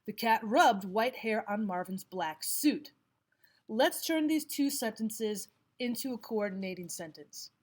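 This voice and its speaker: A woman teacher giving scripted instructional narration, explaining and reading examples out deliberately. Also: a little high, expressive, a little hiss or hum. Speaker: language arts teacher.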